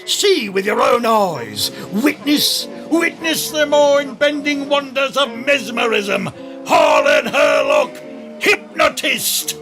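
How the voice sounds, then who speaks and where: Shouting high-pitched carnival barker. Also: male voice, expressive, exaggerated voice. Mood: rousing. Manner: grandiose, hawking, drawn-out. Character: loud, theatrical carnival barker. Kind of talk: audio drama acting. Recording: loud music behind the voice. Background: music.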